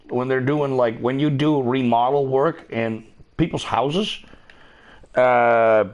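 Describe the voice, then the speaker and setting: A middle-aged man chatting casually while gaming, profane and rambling, laughing often. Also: mid-range voice. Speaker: streamer.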